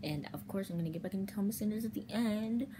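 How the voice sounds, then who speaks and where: A woman's voice: mid-range voice, quick pace. A young woman chatting casually to viewers in a bedroom, recorded on a device at arm's length. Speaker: comic dub creator. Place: small bedroom. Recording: noisy bedroom webcam audio.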